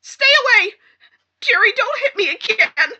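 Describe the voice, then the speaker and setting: An actress playing a distraught young wife in a 1950s-style radio crime drama, emotional, halting and pleading. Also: female voice, extremely high pitch, lively intonation, bright, forceful tone, no room sound, dull audio, exaggerated voice. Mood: pleading, frantic. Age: young adult.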